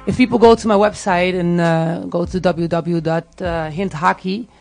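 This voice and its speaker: A woman with a Dutch accent and a gravelly edge, talking casually and hesitantly as an interview guest. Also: fairly low pitch, steady tone, moderate pace, darkish tone, soft background music. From Netherlands, grew up in Tilburg. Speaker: music artist, interview guest. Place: radio studio.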